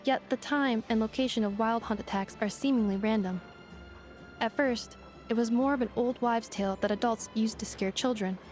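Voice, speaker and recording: A woman voicing a polite female robot guide in a video game, formal, measured and analytical. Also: a little high, a little mellow, lightly acted. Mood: solemn storytelling. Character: polite, precise young female robot guide, literal and composed. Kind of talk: video game character dialogue. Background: music.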